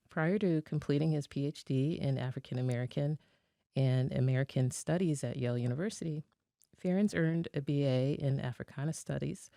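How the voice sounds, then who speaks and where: A podcast host reading a guest's bio aloud with hesitant pauses and self-corrections, then starting the interview. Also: medium pitch, vocal fry, dark tone, no echo, clean studio sound.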